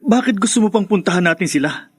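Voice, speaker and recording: An actor playing a bitter, stubborn older brother in a radio drama, firm and resentful. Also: male voice, high voice, expressive, fast, slightly rough, no room sound, clean studio sound. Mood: bitter, refusing.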